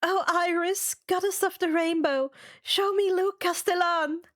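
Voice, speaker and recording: A woman's voice: very high voice, fairly even intonation, a little slow, fairly bright tone, pitched above the performer's own voice. A woman voicing a distraught, loyal young girl in a story, stammering and pleading. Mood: desperate.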